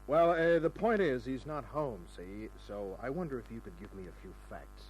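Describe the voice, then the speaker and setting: A man's voice: mid-range voice, lively intonation, moderate pace, lightly acted, poor audio. Hard-boiled, wisecracking private eye played by a man. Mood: casual probing. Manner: wry, needling, hard-boiled. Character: cynical, tough wisecracking male private detective. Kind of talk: mid-century radio crime drama acting and first-person narration. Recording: old radio recording with music.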